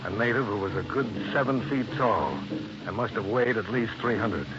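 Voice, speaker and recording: An actor playing a tough, dry American insurance investigator in hard-boiled old-time radio style. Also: male voice, fairly low pitch, expressive, moderate pace, over music, close to an everyday voice. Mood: grim, matter-of-fact. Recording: muffled, hissy old radio recording.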